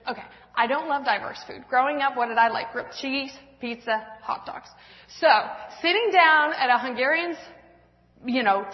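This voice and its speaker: Woman in her 30s, church testimony speaker, rambling and self-mocking, muffled lo-fi hall sound. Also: high-pitched. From Washington State, US West Coast. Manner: self-deprecating, laughing often, rambling with fillers. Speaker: speaker at a church women's event. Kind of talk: personal testimony talk with slides.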